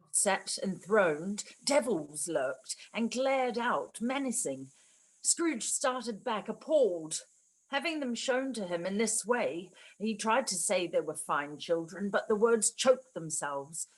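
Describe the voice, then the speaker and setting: Female story narrator: medium pitch, slow dramatic reading. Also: low-quality recording.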